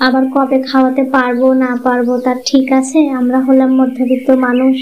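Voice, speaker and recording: A woman's voice: sits high, monotone, brisk, dull audio, slight background noise, lightly acted. A woman voicing a selfish young village wife with a coaxing manner in a cartoon. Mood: polite. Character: selfish, greedy young village wife, sweet-talking but secretly resentful. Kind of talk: cartoon moral-story voice acting.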